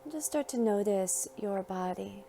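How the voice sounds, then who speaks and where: A woman narrating a guided meditation in a gentle, soothing, unhurried manner, leaving long pauses between phrases. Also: mid-range voice, animated, vocal fry, soft background music.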